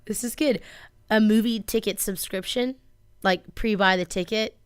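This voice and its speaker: Clear-voiced woman co-hosting casual radio banter. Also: mid-range voice, normal speed, dry recording, clean studio sound. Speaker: radio show co-host. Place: radio studio.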